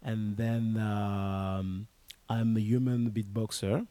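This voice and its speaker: A man's voice: fairly low pitch, level tone, slow pace, dark tone, no room sound. A man from France with a French accent, a vocal artist introducing himself and his music in hesitant English. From France, family from Martinique.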